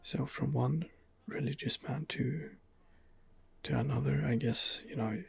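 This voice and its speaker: A man narrating an ASMR game playthrough in a soft, hesitant half-whisper, thinking aloud as he goes. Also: mid-range voice, fairly even intonation, a little slow. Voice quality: soft, breathy half-whisper with vocal fry. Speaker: gamer role-playing a video game character.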